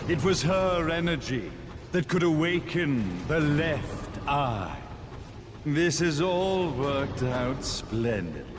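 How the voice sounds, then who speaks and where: A man's voice: a little high, expressive, slow pace, over music. A man playing a grandiose, scheming zealot villain in a video game, with a pompous, ceremonial delivery. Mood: smug, ominous. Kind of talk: dramatic video game cutscene monologue.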